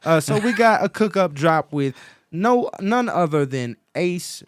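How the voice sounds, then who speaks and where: A man's voice: fairly high pitch, lively intonation, unhurried, no echo, studio quality. A man co-hosting a music radio show, chatting casually and playfully in slangy, informal speech. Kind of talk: casual chat between co-hosts.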